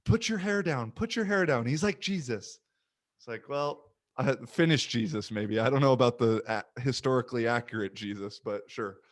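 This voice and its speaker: A young man hosts a casual podcast chat in an easygoing, animated way. He drops quick asides and slips into playful impressions while telling stories. His voice sits in an ordinary mid register. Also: medium pitch. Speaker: podcast host. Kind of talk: casual conversation.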